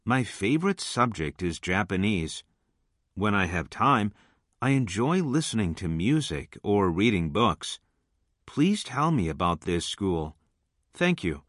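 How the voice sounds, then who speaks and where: A friendly male student played by a man speaks scripted textbook English with careful enunciation. His voice is slightly low and swings expressively in pitch. The recording is very dry. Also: sing-song, unhurried, fairly bright tone, no echo, full character voice. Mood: friendly, polite. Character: friendly male junior high school student. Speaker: listening test voice actor. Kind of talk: scripted English lesson dialogue.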